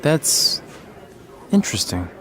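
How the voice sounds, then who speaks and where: An actor playing an eager, joking young pro wrestler in a video game cutscene. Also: male voice, slow, bright, forceful tone. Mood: easygoing. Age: young adult.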